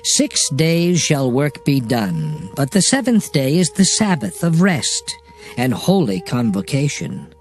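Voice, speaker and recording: A man's voice: a little high, huge pitch swings, takes it slowly, exaggerated voice. A man playing a solemn divine lawgiver, reciting sacred law formally in a dramatized scripture reading. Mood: solemn, authoritative. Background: music.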